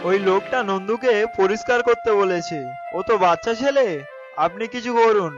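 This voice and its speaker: Guy doing a young cartoon sidekick, really high voice, eager n worried, kinda muffled audio. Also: normal speed, music in the background, close to an everyday voice. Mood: worried, respectful. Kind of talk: cartoon voice acting.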